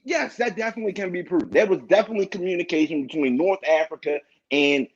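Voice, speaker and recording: A man's voice: high-pitched, fast. A man in a heated, informal debate, talking argumentatively in casual slang over lo-fi audio.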